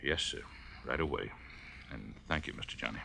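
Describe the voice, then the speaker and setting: An actor playing a folksy, respectful older small-town police chief in a 1950s radio drama. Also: male voice, extremely low pitch, normal speed, creaky, sharp tone, heavily acted. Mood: folksy, subdued. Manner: folksy, deferential. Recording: noisy, crackly vintage radio recording.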